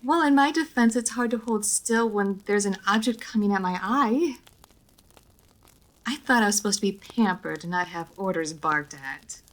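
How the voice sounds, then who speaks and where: A woman playing a refined, reserved vampire noblewoman in a roleplay audio drama, speaking formally with measured diction, hesitant pauses and dry playfulness. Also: mid-range voice, animated, close to an everyday voice, poor audio. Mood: flustered, dryly playful. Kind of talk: roleplay audio drama, intimate monologue to listener.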